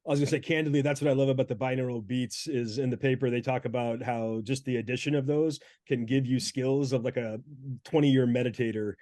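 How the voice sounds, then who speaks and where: A man's voice: mid-range voice, steady tone, a little fast, dark tone, no room sound. A man taking part in a casual discussion, explaining things in an informal, enthusiastic way. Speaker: discussion participant. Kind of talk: casual chat.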